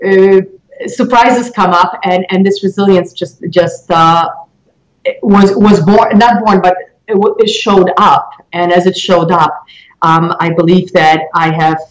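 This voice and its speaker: A woman in her forties with a Polish accent, giving reflective interview answers in halting, deliberate phrases. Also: on the low side, steady tone, poor audio. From Poland. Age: 40s. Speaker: interview guest, mortgage loan originator.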